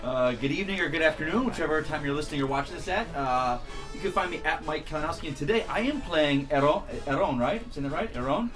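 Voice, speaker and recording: A man's voice: a little high, expressive, fast, over music. A man, an actor, introducing himself casually to an audience in a studio table read.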